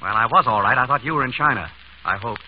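A man's voice: medium pitch, fast talker, lightly acted. An actor in a 1930s radio comedy-mystery playing an urbane, dryly witty doctor exasperated by his ex-wife. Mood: polite, puzzled. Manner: urbane, wry, deadpan. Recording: dull, noisy 1930s radio recording.

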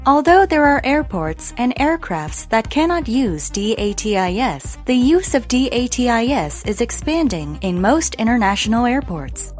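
A woman narrates an educational explainer in a high voice with very animated intonation. She speaks deliberately and enunciates each phrase, with music playing softly behind her.

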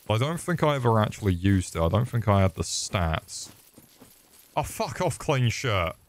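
A man's voice: on the low side, big pitch swings, normal speed. A young man streaming a game, swearing and joking sarcastically as he plays. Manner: sweary, sarcastic, crude jokes. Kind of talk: swearing live gameplay commentary.

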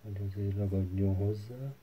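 A man narrating a hands-on tutorial casually, hesitant and halting as he thinks aloud while working. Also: lowish voice, steady tone, phone-quality sound. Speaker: DIY tutorial host. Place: indoor workbench. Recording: faint background noise with handling clicks.

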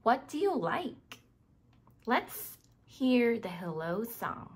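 A woman reading a children's book aloud in an expressive way, speaking directly to young listeners. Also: on the high side, slow pace, husky. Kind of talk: scripted read-aloud narration for young children.

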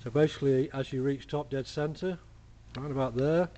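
A man casually explaining a workshop build in a relaxed, plain-spoken way, full of "uh" and "basically", filmed up close on a camera mic at a cluttered bench. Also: mid-range voice, normal speed, a little hiss or hum. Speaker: hobby engineer demonstrating a build.

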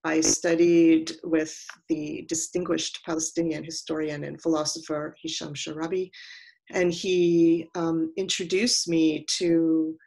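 An older woman giving reflective, prepared remarks as a panelist in a measured, deliberate manner. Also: low-pitched, level tone, gravelly, mellow, poor audio. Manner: measured, deliberate, long considered sentences. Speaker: panelist, scholar and writer.

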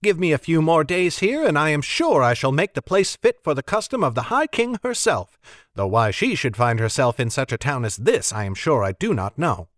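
A man's voice: a little high, sing-song, normal speed, fairly bright tone, no echo, clean studio sound. A man voicing a prim, fussy young woman who complains with proper manners.

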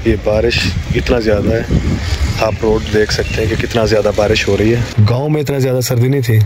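Guy in his 40s vlogging on his phone, low voice, talks through everything he does, lots of noise behind. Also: flat delivery, fairly quick. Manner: chatty, narrates every step, urges others to hurry. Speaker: family vlogger. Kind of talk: casual running vlog commentary. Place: in a car, at home and in a supermarket. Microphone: phone camera held at arm's length. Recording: noisy handheld phone audio.

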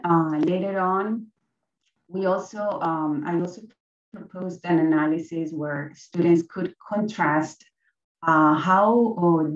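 A young adult woman, giving a conference presentation as a teacher, hesitant, with many fillers and restarts. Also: low voice. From Colombia. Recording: roomy, distant, slightly dull sound.

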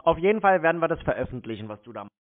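A man's voice: on the high side, big pitch swings, rapid-fire. A man arguing on a phone call in a blunt, mocking, exasperated manner. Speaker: scambaiter taking a cold call. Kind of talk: confrontational phone argument. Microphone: telephone call. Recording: tinny narrow-band phone line.

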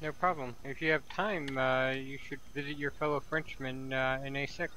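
A man's voice: mid-range voice, steady tone, moderate pace, sharp tone, slight background noise. A man roleplaying in a game, giving matter-of-fact, folksy advice to another character. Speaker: fellow roleplay player.

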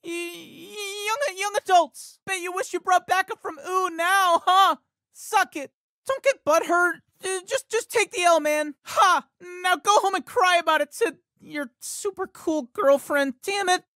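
An anxious teenage boy for a video game, his voice pitched very high and bright, and he keeps stumbling over his words in a jittery stammer. It sounds like a dry studio recording with no room around it. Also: measured pace, no echo, exaggerated voice. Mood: jittery, excitable. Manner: stammers and repeats words, cracks into yelps. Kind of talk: game voice lines. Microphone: studio microphone.

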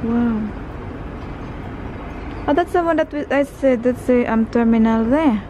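Slow-talking Filipino woman at a harbour lookout. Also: a little high. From Philippines. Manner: exclaiming, reading signs aloud, switching to Cebuano. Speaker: vlogger. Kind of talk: casual chat. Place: outdoors at an industrial harbour lookout. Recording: outdoor phone recording with noise.

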